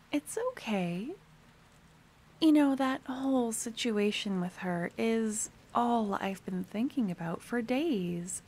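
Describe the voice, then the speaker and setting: A doting yet menacing merchant woman, acted by a female ASMR performer, obsessed with her beloved. She speaks very slowly in a high, cooing voice that drops into breathy half-whispers. There is noticeable background noise. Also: darkish tone, full character voice. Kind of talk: ASMR fantasy roleplay.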